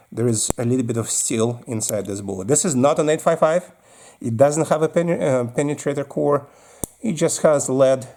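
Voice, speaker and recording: A man's voice: mid-range voice, a little mellow, a little hiss or hum. Russian-accented man explaining plainly. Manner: plain, practical explaining while handling gear. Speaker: firearms video host. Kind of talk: casual instructional talk.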